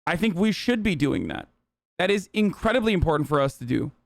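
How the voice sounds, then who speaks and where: A man's voice: a little high, sing-song, a little fast, gravelly, no echo, clean studio sound. A man streaming political commentary, reacting to clips bluntly and profanely with many fillers.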